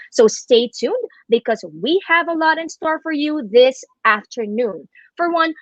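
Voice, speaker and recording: Lively Filipina co-host, dry lo-fi sound. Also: female voice, a little high, no echo. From Philippines. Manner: bright, upbeat, mixing English and Tagalog. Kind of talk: scripted event hosting.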